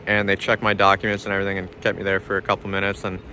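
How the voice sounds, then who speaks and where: A man with a low voice chats casually as if updating a vlog. His delivery is very flat and plain-spoken, with little rise or fall. Clear ambient noise sits behind him. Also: rapid-fire. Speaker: vlogger, pro hockey goaltender. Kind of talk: casual vlog talk to camera.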